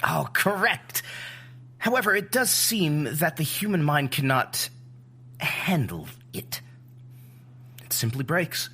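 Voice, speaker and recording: An actor playing a grave, clinical male expert in an audio drama, explaining things deliberately. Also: fairly high pitch, sing-song, pushed and bright, breathy edge, heavily acted.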